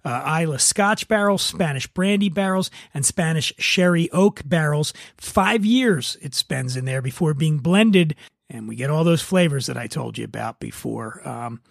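Higher-pitched man hosting a spirits review. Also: expressive, normal speed, vocal fry, dry recording, studio quality. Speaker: podcast host reviewing spirits. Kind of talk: semi-scripted product review with casual asides.

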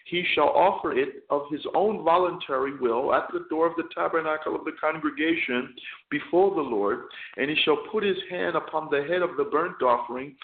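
A man serving as chaplain and radio host, preaching slowly and deliberately with many fillers, hoarse and losing his voice. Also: on the high side. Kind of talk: radio sermon with scripture reading. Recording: tinny phone line, echoey.

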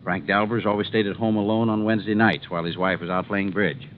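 A man playing a troubled, formal company president in a 1950s radio drama, speaking deliberately and emphatically. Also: lowish voice, fairly even intonation, natural voice. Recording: dull, faintly noisy 1950s radio recording.

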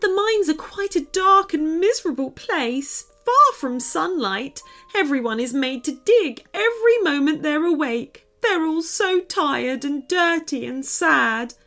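A woman's voice: high voice, lively intonation, a little slow, few pauses, a bit bright, pitched above the performer's own voice, full character voice. A woman voicing a small, frightened pointy-eared girl from a storybook, speaking in a shaky, tearful, earnest voice.

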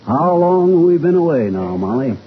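Folksy middle-aged radio husband: lively swooping delivery, wisecracks, vintage comedy, noisy old recording. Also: male voice, a little high, normal speed, narrow, tinny audio. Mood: agreeable. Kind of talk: 1940s radio comedy acting.

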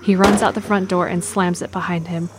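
A woman's voice: fairly low pitch, flat delivery, brisk, a little hiss or hum. A woman reading scripted present-tense narration for an audio drama.

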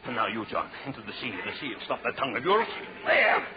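A man's voice: medium pitch, big pitch swings, quick pace, lightly acted. An actor playing a tormented, murderous showman in a 1930s radio horror play, melodramatic and muttering obsessively in repeated phrases. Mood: frantic, vengeful. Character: tormented murderous showman haunted by talking shrunken corpses. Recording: muffled, noisy 1930s radio recording.

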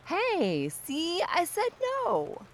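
A woman's voice: very high voice, wildly swooping pitch, extremely slow, slight background noise, full character voice. A woman playing a tipsy, nosy and pushy young neighbour in a comic audio drama, chatty, teasing and insistent. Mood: teasing, probing. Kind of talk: audio drama comedy acting.

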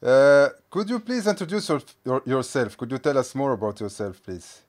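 A man hosting a casual interview podcast, friendly and quick to laugh, asking questions with small stumbles. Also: mid-range voice, very animated. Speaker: podcast host.

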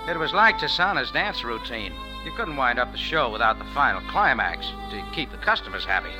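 An actor playing a tough, wry cafe owner turned sleuth in a 1940s radio drama, talking and narrating in a clipped, hard-boiled way. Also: male voice, mid-range voice, very animated, a little fast, over loud music, natural voice, rough recording. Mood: tense, wry narration.

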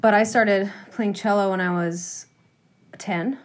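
A woman from Massachusetts, a musician guest talking in a relaxed, agreeable way during an interview. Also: medium pitch. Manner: easygoing, agreeable, quick asides. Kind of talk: casual interview chat.

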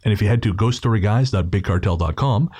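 A man's voice: on the low side, fast, a little mellow, breathy edge. A man hosting a podcast, chatting casually and informally through thanks and plugs. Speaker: podcast host.

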